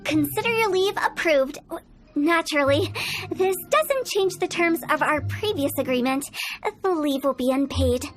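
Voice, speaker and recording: A woman's voice: high-pitched, expressive, moderate pace. A woman playing a playful, mischievous young funeral parlor director in a video game, teasing and theatrical. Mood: cheerful, sly. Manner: teasing, theatrical, cheerfully morbid. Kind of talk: video game character dialogue. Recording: game music behind the voice.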